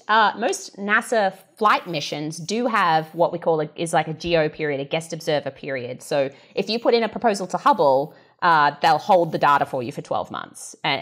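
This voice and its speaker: A woman speaking as a scientist guest, explaining thoroughly in a conversational way with rhetorical questions and check-ins. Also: on the low side. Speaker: exoplanet scientist, panel guest.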